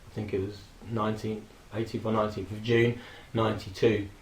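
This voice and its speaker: Hoarse man reminiscing in an echoey room. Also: on the low side, flat delivery, fairly quick, slight background noise. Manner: rambling, reminiscing, with frequent laughs and fillers. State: hoarse from a throat infection. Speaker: vlogger. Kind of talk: casual personal tribute monologue.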